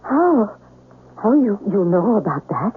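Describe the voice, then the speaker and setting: Husky, pleading servant woman. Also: mid-range voice, very animated, measured pace, exaggerated voice. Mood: startled, cornered. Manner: hesitant, pleading, mournful. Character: guilty, fearful servant woman pleading ignorance. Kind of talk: 1970s radio drama acting. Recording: muffled old radio broadcast.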